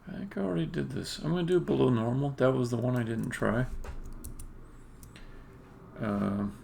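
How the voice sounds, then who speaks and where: A man's voice: medium pitch, moderate pace, gravelly, a little mellow, slight background noise. A man thinking aloud in casual live-coding commentary, muttering to himself as he works as a game developer on a livestream. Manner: muttering to himself while working.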